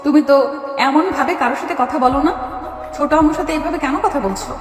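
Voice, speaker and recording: Casting: gentle, faintly sulky wife, played by a woman, high voice, echoing room, soft music underneath. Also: brisk, natural voice. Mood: puzzled. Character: affectionate young wife, slightly sulky, gentle. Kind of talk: audio story drama. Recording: echoey reverberant hall, faint music underneath.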